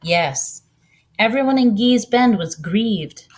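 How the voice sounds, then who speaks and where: A woman voicing a proud, gentle older woman who tells her story in an earnest, unhurried way, as in a storybook. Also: a little high, lively intonation. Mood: soft, sad.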